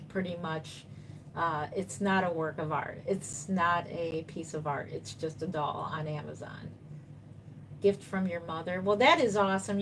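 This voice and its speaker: A woman's voice: lowish voice, fairly bright tone, a little hiss or hum, rough recording. A woman in her fifties, a doll artist chatting casually on a live stream, reading viewer comments and answering them reassuringly while she paints. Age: 50s.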